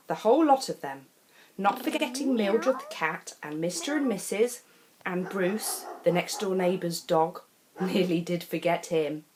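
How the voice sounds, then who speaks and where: A woman reads a picture book aloud for young children. Her delivery is unhurried, with pitch that rises and falls in a lively way. She is expressive and deliberate, leaving dramatic pauses between short phrases. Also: slight background noise. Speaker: storyteller reading children's picture books. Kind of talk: animated children's story reading.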